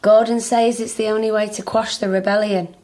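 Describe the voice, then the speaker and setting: A woman's voice: mid-range voice, level tone, a little fast, a little mellow, close to an everyday voice. A woman playing a curious, playful British character with a wry manner in a naturalistic radio drama. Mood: reading aloud. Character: nosy, wry British woman rummaging through an estate. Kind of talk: naturalistic radio drama dialogue and reading aloud.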